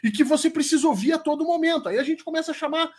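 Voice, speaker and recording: A man's voice: very high-pitched, very fast, a little mellow. A man with a Brazilian accent giving emphatic, opinionated political commentary in a conversational way, as a guest on a live show.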